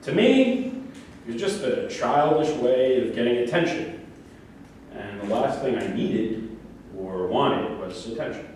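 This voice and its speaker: A man's voice: medium pitch, expressive, unhurried, darkish tone, close to an everyday voice. A man in a staged play reading, playing a grandiose, argumentative, flirtatious psychiatric patient with delusions. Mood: defensive. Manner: argumentative, rambling, self-justifying. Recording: very echoey room, distant mic, faint noise.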